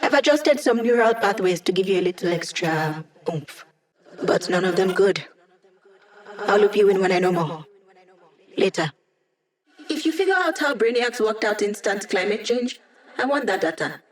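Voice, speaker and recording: A woman's voice: medium pitch, very animated, normal speed, pauses often, close to an everyday voice. A woman voicing a sardonic hacker woman. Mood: wry. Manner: sardonic, quippy, casual slang. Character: wry young woman hacker, holographic, cocky. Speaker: game character, hacker support. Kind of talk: video game voice lines.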